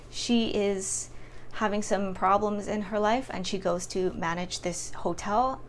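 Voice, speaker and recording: A young woman reviews books in a casual, conversational vlog style. Her voice sits at a medium pitch for a woman. There is faint but noticeable noise in the recording behind her. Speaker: book reviewer vlogger. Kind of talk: casual chatty book review to camera. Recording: clearly audible background noise.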